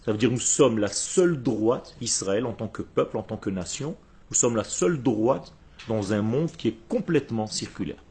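A man's voice: on the low side, expressive, normal speed, warm tone, husky. A man from Israel, a Hebrew speaker, giving a religious lecture in an emphatic, didactic manner full of rhetorical questions. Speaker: religious teacher.

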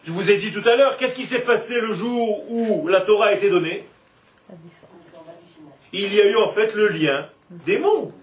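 A man with a high-pitched voice gives an animated religious class. He leans on rhetorical questions and stretches words for emphasis. The recording is roomy and echoing, picked up from a distance with faint noise. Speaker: religious teacher giving a Torah class. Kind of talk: lively spoken lesson to a live group.

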